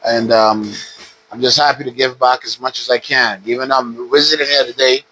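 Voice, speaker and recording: A man in his fifties from the Bahamas, a retired boxer calmly telling his story in an interview at a boxing gym. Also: mid-range voice, a little fast, a little hiss or hum, low-quality recording. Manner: relaxed, steady storytelling with frequent "uh". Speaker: retired pro boxer, guest. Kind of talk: casual interview.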